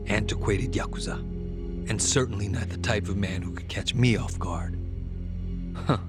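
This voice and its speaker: An actor playing an ambitious, arrogant politician with a yakuza father in a video game cutscene, smug, deliberate and menacing. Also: fairly low pitch, close to an everyday voice. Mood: smug musing. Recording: music behind.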